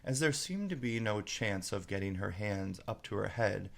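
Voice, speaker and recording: A man's voice: fairly low pitch, lively intonation, normal speed, gravelly. A man narrating a storybook aloud as a storyteller.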